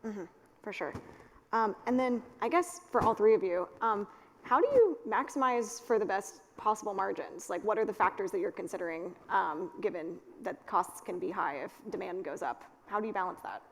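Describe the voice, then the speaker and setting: A woman's voice: mid-range voice, expressive, moderate pace. A woman moderating a conference panel, asking conversational, loosely phrased questions that wind along. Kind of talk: conversational interviewing. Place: on stage at a conference. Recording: echoey hall with long reverb.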